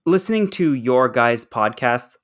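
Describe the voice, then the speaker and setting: A man's voice: mid-range voice, lively intonation, unhurried. A man co-hosting a podcast in casual chat, wry and mildly profane in his way of talking. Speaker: podcast co-host.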